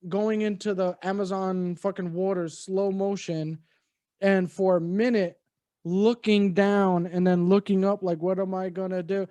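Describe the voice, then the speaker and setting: A man's voice: high voice, warm tone, no room sound. A man cohosting a casual movie review podcast, talking bluntly with plenty of swearing and restarts. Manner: blunt, profane, rambling with restarts.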